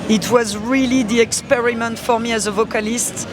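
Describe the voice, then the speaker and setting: High-voiced singer, street noise. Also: male voice, moderate pace, crowd noise. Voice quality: low voice by his own account, but high on the card. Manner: storytelling, animated, non-native phrasing. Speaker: singer, podcast guest. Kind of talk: casual interview chat. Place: outdoors on a busy street.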